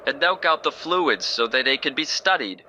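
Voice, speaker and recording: A man's voice: mid-range voice, expressive, normal speed, bright, forceful tone, slight background noise, low-quality recording. A man playing a cheerful, oddly oblivious male biologist. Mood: chipper.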